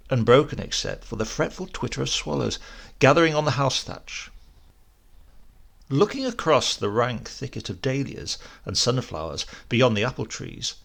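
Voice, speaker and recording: A man narrates literary fiction. His voice is medium in pitch with a pronounced gravelly fry. He tells it in a measured, descriptive storyteller's manner. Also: fairly bright tone. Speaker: audiobook narrator.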